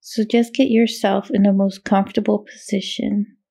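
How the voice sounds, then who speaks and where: A woman leading a guided meditation in a soothing, measured way, with long pauses and a gravelly, creaky voice. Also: medium pitch, little pitch movement, dark tone. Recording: meditation music with singing bowl behind. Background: meditation music, singing bowl tones.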